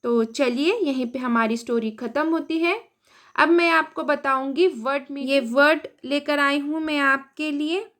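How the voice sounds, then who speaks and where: A woman with an Indian accent, reading an English story aloud slowly and translating it word by word into Hindi as a teacher. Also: high voice, fairly even intonation, fairly bright tone. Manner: reads word by word, translating each.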